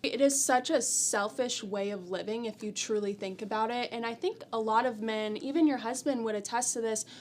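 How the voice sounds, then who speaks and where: A woman's voice: fairly high pitch, moderate pace, few pauses, a little mellow. A young American woman co-hosting a podcast, explaining her opinions earnestly in conversational speech. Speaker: podcast co-host.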